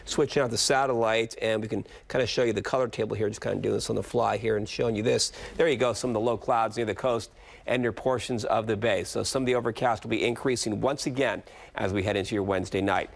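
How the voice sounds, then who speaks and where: A man's voice: lowish voice, fairly even intonation, fast, vocal fry. A man giving a loose, conversational TV weather forecast, talking on the fly with fillers. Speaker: meteorologist.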